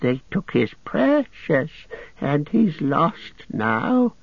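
A man's voice: a little high, sing-song, drawn-out words, creaky, dry recording, voice pushed up, exaggerated voice. A man voicing a wretched, split-minded creature who whines, hisses and shrieks. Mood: cackling sly. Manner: whimpering, shrieking, hissing. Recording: dull lo-fi dry recording.

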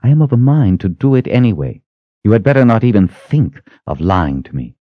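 Man voicing a menacing, scornful witch woman, swooping pitch. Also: big pitch swings, brisk, no room sound, narrow, tinny audio. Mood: cold menace. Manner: hissing threats, accusing rhetorical questions.

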